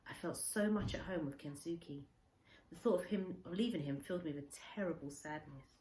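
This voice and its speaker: A woman reading a children's story aloud as a teacher, in expressive narration. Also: on the low side, moderate pace. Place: indoor room with a window. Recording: echoey room with faint background noise.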